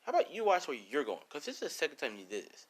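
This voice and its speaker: A man's voice: on the high side, huge pitch swings, racing, voice pushed up. A man voicing an outspoken young woman, a loyal best friend in an amateur story, sassy and casual. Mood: indignant.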